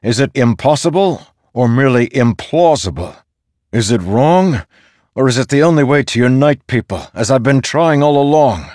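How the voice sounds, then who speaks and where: A man's voice: low voice, expressive, normal speed, no room sound, studio quality. A man playing a commanding but weary general in a fantasy audiobook, insistent, earnest and stubbornly defiant. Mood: challenging. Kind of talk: dramatic fantasy audiobook character.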